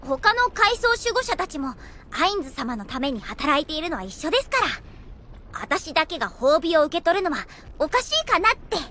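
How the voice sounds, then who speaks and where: A woman's voice: high voice, sing-song, moderate pace, bright, forceful tone, a little hiss or hum, full character voice. An actress playing a spirited, loyal young elf girl, a tomboyish big sister, in an anime audio drama. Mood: earnest, modest. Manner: spirited, bossy with sibling, eagerly polite to master.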